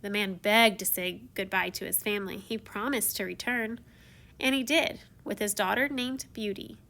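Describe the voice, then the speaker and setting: A woman's voice: mid-range voice, moderate pace, sharp tone. A woman reading a storybook in the first person as a theatrical, confiding, self-mocking young prince who became a beast. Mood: wistful, warm. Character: theatrical, once-shy young prince turned beast, telling his tale.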